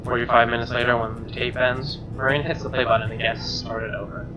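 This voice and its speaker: A man's voice: medium pitch, level tone, brisk. Man narrating aloud over music. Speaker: streamer. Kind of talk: first-person visual novel narration, read aloud. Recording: music behind the voice.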